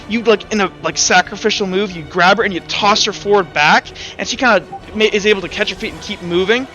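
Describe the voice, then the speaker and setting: A man acting as game master in a tabletop roleplaying game, narrating off the cuff and calling for rolls in a halting, think-aloud way. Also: high-pitched, sharp tone, low-quality recording.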